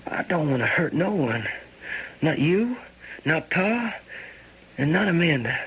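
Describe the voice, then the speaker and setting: An actor playing an anguished young farm son in a radio drama, urgent and plain-spoken. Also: male voice, on the high side, big pitch swings, a little slow, fairly bright tone, husky, heavily acted. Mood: anguished, defiant. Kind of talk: old-time radio drama acting. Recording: slightly noisy radio drama recording.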